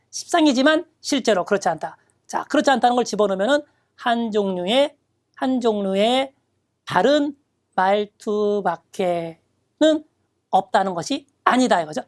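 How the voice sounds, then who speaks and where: A woman lecturing on exam reading questions, reading Japanese phrases slowly and then explaining them step by step. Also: medium pitch. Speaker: Japanese reading test instructor.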